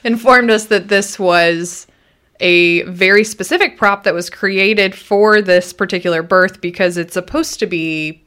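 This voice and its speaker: A woman's voice: medium pitch, unhurried, fairly bright tone, clean tone. A woman co-hosting a podcast in casual conversation, often chiming in to question and clarify. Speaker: podcast co-host.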